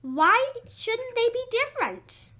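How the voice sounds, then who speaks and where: A woman's voice: extremely high pitch, big pitch swings, bright, forceful tone, pitched above the performer's own voice, full character voice. A woman voicing a haughty living piece of furniture, in a storybook reading. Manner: haughty, slow and puzzled.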